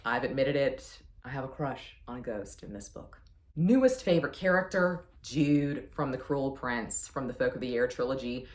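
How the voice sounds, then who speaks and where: A woman's voice: rumbling low, animated, moderate pace. A woman chatting casually as a book reviewer, effusive and emphatic with a playfully self-deprecating manner. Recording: roomy, echoey sound.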